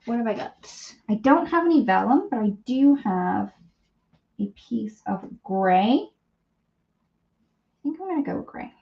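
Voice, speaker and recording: Female craft demonstrator, unhurried and chatty, self-deprecating, talks herself through each step; slightly muffled lo-fi sound. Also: a little high, expressive, gravelly. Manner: chatty, thinking aloud while working. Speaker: host of a live papercraft demonstration. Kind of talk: casual live craft tutorial chat.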